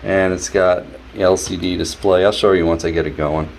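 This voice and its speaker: A man's voice: sits low, fairly even intonation, moderate pace. A man casually narrating his hands-on repairs in a wry, joking way. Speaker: host, DIY repair vlogger. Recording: clear background noise.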